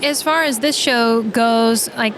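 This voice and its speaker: A woman guest on a podcast, chatting casually and telling stories in an animated manner, with quoted asides. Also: on the high side, slow. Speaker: podcast guest, audio drama writer. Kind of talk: casual interview chat. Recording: clear background noise.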